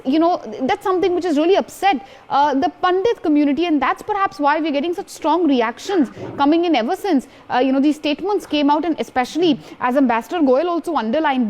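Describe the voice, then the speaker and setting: A woman with an Indian accent anchoring a live news interview, putting long, halting questions to a guest. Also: high voice, darkish tone.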